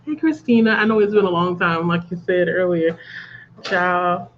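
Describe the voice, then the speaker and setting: A woman's voice: fairly low pitch, moderate pace, muffled recording, slight background noise. A congested woman chatting casually as host of a reading livestream and laughing at her own jokes. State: congested from a cold. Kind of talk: casual chat. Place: at home.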